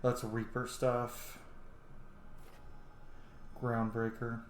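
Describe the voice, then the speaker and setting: A man's voice: mid-range voice, monotone, extremely slow. A man giving casual let's play commentary as host of a gaming channel. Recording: roomy echo, faint background noise.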